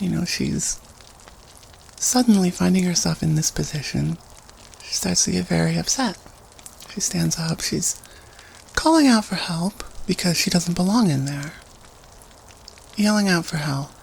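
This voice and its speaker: A woman acts out a student storyteller in a campfire audio drama. Her lowish voice has a creaky fry, and she lays out an eerie tale in halting, conversational phrases over faint background noise. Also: poor audio.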